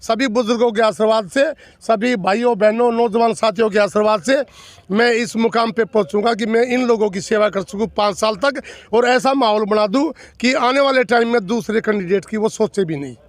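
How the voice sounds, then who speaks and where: An older man in his sixties, from the villages of North Delhi, speaks as an election candidate in an interview. His voice sits high for a man and sounds close and dry, with no room around it. He talks earnestly and emphatically, repeating himself and listing his points. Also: moderate pace, no room sound. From North Delhi villages, India. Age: 60s. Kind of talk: interview answers.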